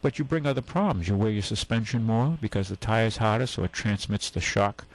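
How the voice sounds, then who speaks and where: A man's voice: on the low side, a little fast, poor audio. A man hosting a call-in radio show, giving folksy, rambling car advice and correcting himself as he goes.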